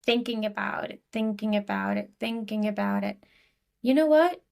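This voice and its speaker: A woman's voice: medium pitch, moderate pace, gravelly, a little mellow. A woman from Argentina with an Argentinian accent, chatting casually as a podcast guest with many fillers and restarts. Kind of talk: casual interview talk.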